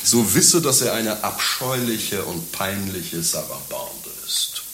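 Grumpy sardonic misanthrope, radio play, forceful voice, pompous, bit of hiss. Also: male voice, low-pitched, sing-song, takes it slowly, full character voice. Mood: lecturing disgust. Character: morose, cynical pessimist man, mocking and disgusted by humanity. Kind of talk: German radio play acting.

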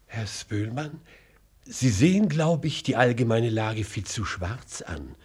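An actor playing a deferential, anxious government minister in a radio play, speaking formally, courteously and hesitantly. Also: male voice, mid-range voice, expressive, takes it slowly, a little mellow, slightly rough. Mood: formal, defensive. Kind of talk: radio drama acting.

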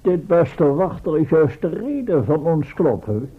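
An actor playing a formal, wordy and condescending professor in a radio play. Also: male voice, on the high side, sing-song, a little slow, breathy edge, heavily acted. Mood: pompous persuasion. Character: verbose, exasperated professor, easily winded time traveler. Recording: tinny, band-limited old radio with hiss.

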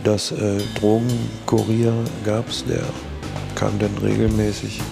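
An older man reminiscing casually and haltingly in an interview, often adding short tag questions. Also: on the low side, flat delivery, gravelly. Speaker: sound engineer interviewee. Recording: interview over music.

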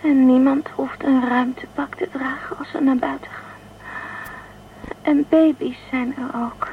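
Lady doing sick fragile young girl in space, radio play, high voice, thin phone sound. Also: fairly even intonation, measured pace. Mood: delirious, wistful. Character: feverish, frightened, childlike young female space traveller. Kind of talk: radio drama acting. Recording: tinny phone-like band, faint noise.

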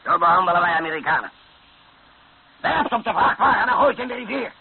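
A man's voice: sits high, animated, brisk. A domineering rebel colonel in a radio play. He barks commands in a raised voice that leaps up and down. The recording is muffled, with a layer of hiss. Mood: cold, threatening. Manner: barking, commanding. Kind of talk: radio drama acting.

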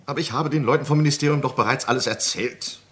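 An actor playing an irritable but smooth male suspect under questioning in an old crime drama, clipped and indignant. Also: medium pitch, fast, natural voice. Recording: faintly noisy old mono recording.

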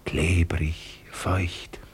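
An actor playing a bewildered, tender old father, halting and full of wonder, in a 1950s radio play. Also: deep voice, flat delivery, in a whisper. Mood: dazed wonder. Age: older.

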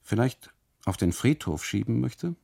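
A man's voice: medium pitch, very animated, unhurried, creaky, dark tone, breathy edge, voice pushed up, full character voice. A man voicing a suspicious, easily amused tourist in a radio monologue, emphatic, insistent and derisive. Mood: suspicious, complaining.

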